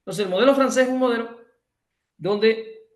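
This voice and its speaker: A man's voice: extremely high pitch, moderate pace, echoey room. A man from Cuba with a Cuban accent, giving an emphatic online lecture over a video call, restating his points and correcting himself often. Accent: Cuban Spanish.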